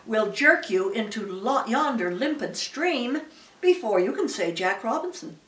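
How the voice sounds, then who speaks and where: A woman's voice: on the high side, expressive, measured pace, a bit bright, roomy sound, far from the mic. An elderly woman voicing a pompous, wordy male python with formal manners in a storybook reading. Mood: grave, pompous. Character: pompous, long-winded male python rock snake.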